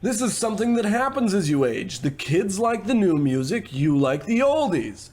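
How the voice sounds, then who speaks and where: An actor playing a blithe, eccentric showbiz boss around sixty in a comic radio play, breezy and patronizing. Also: male voice, high-pitched, big pitch swings, moderate pace, darkish tone. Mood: breezy, world-weary. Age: 60s. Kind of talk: old-time radio comedy acting.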